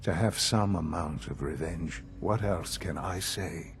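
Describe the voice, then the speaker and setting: An actor playing an elderly male leader of a scientific institute in a video game, speaking in a measured, formal, softly persuasive way. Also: low-pitched, slight background noise. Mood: grave, persuasive.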